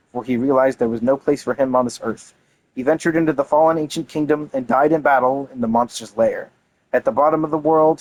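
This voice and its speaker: A man's voice: mid-range voice, rough recording. A man reading game lore aloud and commenting casually and enthusiastically, with some casual swearing. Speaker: gaming content creator.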